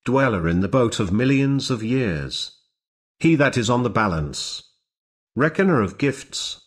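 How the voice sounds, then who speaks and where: A man's voice: mid-range voice, slightly rough. A man reading aloud in a solemn, declamatory recitation, in short separated phrases. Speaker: reader. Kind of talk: slow solemn recitation of ancient religious epithets.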